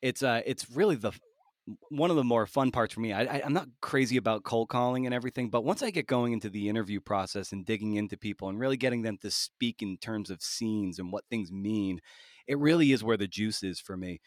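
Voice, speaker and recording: Male podcast host, lowish voice in a dry space, thoughtful and halting. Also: no echo. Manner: thoughtful, halting, many restarts. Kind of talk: conversational interview.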